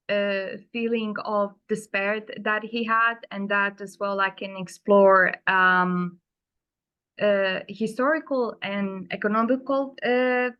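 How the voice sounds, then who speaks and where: A woman's voice: medium pitch, smooth, highs cut off. A woman speaking as a panelist in a round-table webinar, earnest and searching for her words as she explains her plans for the stage. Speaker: panelist, theatre maker.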